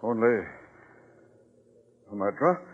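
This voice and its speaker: A man's voice: fairly low pitch, lively intonation, takes it slowly, breathy edge, narrow, tinny audio, full character voice. An actor playing a pompous contest sponsor with murder in his past, in formal 1950s radio drama diction. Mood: shaken.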